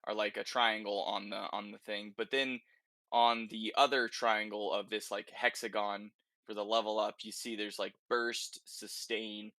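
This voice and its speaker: A man presents game details in a loose, informal podcast style. His voice is bright and crisp-edged, recorded dry and close. He speaks conversationally, leaning on filler words like "kinda" and "like". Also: lowish voice, level tone, unhurried, no echo. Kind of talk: casual podcast discussion of a video game.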